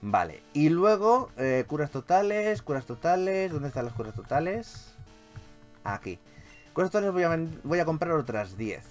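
A man with a Spanish accent from Spain commentates on a video game in a casual, expressive style. His voice sits a little high and swings widely in pitch. Game music plays behind him, and his language is informal and salty. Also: normal speed. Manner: informal, expressive, peppered with swearing. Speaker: gaming YouTuber. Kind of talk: casual Let's Play commentary.

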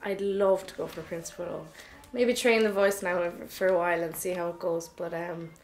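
A woman's voice: lowish voice, steady tone, fairly quick, soft background music, poor audio. A young Irish woman recalling amateur stage experiences in a casual interview style. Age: young adult. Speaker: amateur pantomime performer.

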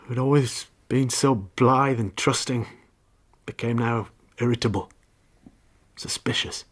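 A man's voice: mid-range voice, a little slow, close to an everyday voice. An actor in a radio drama playing a bitter, jealous, hard-drinking husband confessing to murder, brooding as he tells it. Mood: bitter confession. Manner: brooding, confessional storytelling that breaks into confrontation.

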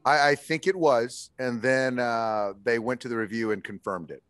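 A man in his forties, a baseball manager answering postgame press questions in a measured way, with many fillers and restarts, heard over a video call. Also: mid-range voice, steady tone, a bit bright, smooth, no room sound, studio quality. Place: indoors in front of a logo backdrop.

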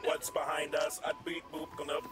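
A man's voice: a little high, monotone, brisk, close to an everyday voice. A man voicing a blunt, sassy robot sidekick in an improvised comedic game fan dub. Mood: commanding. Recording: loud game music behind voice.

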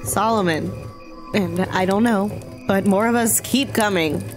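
A woman's voice: medium pitch, a little slow, natural voice. Woman acting a nervous, weary djinn over music. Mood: weary, anxious. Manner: nervous, hesitant. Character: anxious, shaken female djinn slave, fearful and weary. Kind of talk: audio fiction acting.

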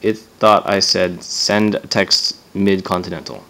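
A man's voice: deep voice, level tone, a little slow, vocal fry, pushed and bright, slight background noise. A man giving a casual hands-on gadget demo as a reviewer, offhand and sardonic, swearing and sounding exasperated.

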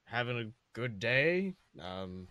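Bewildered pirate captain, played by a male reader, hesitant and questioning, medium pitch, dry recording, comic storytelling. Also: big pitch swings, slow pace, sharp tone, no room sound, heavily acted. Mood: puzzled. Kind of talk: comedic fan fiction reading.

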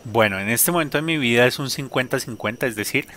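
A man in his thirties, a guest sharing his faith story on a video call through a headset, talking warmly with frequent laughs and fillers. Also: medium pitch, quick pace, a little hiss or hum. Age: 30s. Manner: warm, laughs often, hesitant fillers. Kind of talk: personal testimony in an interview.

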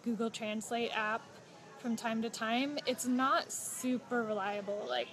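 A woman in her thirties chatting casually on a phone livestream outdoors, relaxed and informative with fillers and small laughs. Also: a little high, a little slow. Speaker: travel vlogger. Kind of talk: casual live chat. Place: outdoors at a home stay patio. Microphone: phone held close. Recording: outdoor noise behind.

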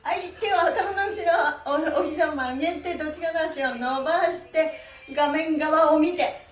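High-pitched cheerful woman leading exercise, roomy sound. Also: unhurried, soft background music. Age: middle-aged. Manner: cheerful, exclamatory, encouraging. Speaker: stretching instructor and pantomime performer. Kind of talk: livestreamed exercise lesson with chanted counting and chatty asides. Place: small bare room indoors. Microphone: camera mic a few steps away.

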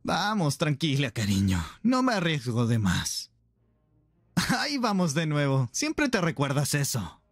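A man's voice: a little high, huge pitch swings, a little slow, dry recording, studio quality, full character voice. A man playing an affectionate, playful and protective pirate captain in an anime roleplay, with music behind him. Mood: tender. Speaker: roleplay performer. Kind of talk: ASMR anime roleplay acting.

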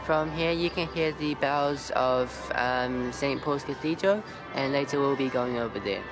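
A teenage male vlogger with a mid-pitched voice explains sights in an informal, slightly halting way. He is recorded outdoors on a camera in an open space. Music plays prominently behind his speech. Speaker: travel vlogger. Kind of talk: informal on-camera tour commentary. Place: outdoors on an open paved plaza.

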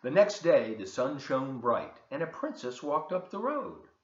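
Male, 70s, folksy children's story reader, lively intonation, roomy dull home webcam sound. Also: a little high, a little slow. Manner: folksy, expressive, addressing young listeners. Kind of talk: children's read-aloud with chatty asides. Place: open living room and kitchen, indoors. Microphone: webcam at desk distance.

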